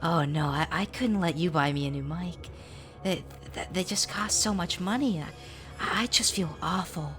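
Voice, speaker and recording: A man's voice: fairly high pitch, normal speed, a bit bright, soft background music, pitched above the performer's own voice. A man using a voice changer to voice a shy, uneasy fourteen-year-old girl who stammers and apologizes. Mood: flustered, evasive. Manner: stammering, hesitant.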